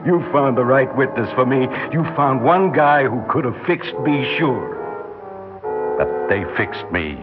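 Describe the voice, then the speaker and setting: An American actor playing a bitter, mocking cop killer on death row in 1960s radio drama, with a tough, slangy, sneering delivery. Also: male voice, medium pitch, animated, takes it slowly. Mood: mocking, unhinged. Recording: dull 1960s radio recording, music behind.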